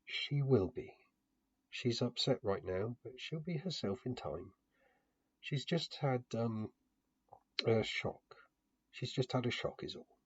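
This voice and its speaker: A man playing a kindly, anxious man minding children in a fantasy story, hesitant and gently reassuring, in a muffled home recording. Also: mid-range voice, animated, slow, pauses often, breathy edge. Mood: gentle, uneasy.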